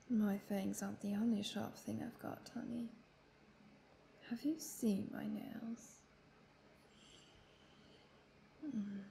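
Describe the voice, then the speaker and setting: A woman playing a playful, cruel female vampire in a roleplay audio drama, taunting and seductive in a breathy half-whisper. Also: mid-range voice, mellow, slight background noise. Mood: taunting, threatening.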